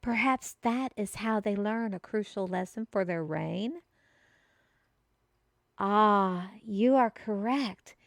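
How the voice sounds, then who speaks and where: A woman's voice: mid-range voice, gravelly, no room sound, clean studio sound. A woman playing an earnest, easily offended messenger who reads out a prophecy in a theatrical, solemn fantasy audio roleplay. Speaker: voice actor in solo audio roleplay. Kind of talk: theatrical one-sided audio roleplay for a listener.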